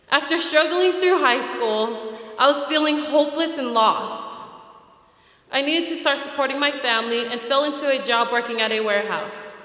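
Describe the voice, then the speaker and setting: A young woman from American Samoa delivers a prepared graduation speech, earnest and measured. Her voice is bright and forward. The sound carries a long reverb, as in a large hall. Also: a little high. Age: young adult. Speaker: graduation speaker. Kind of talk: prepared speech read aloud.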